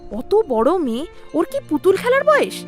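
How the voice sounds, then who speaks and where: An actress playing a stern grown daughter and strict village housewife in an audio story drama. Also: female voice, high voice, wildly swooping pitch, normal speed, faint music behind. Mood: scolding.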